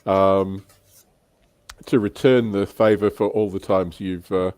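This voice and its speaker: Casting: male livestream host, lowish pitch, conversational, dry close sound. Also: steady tone, slow, a little mellow, clear, resonant tone, no room sound. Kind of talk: casual livestream chat.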